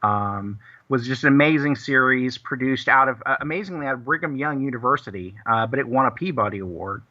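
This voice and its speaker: Guy guest on a radio interview, super bright edgy voice, halting, kinda lo-fi line. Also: mid-range voice. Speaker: guest, audio drama producer and writer.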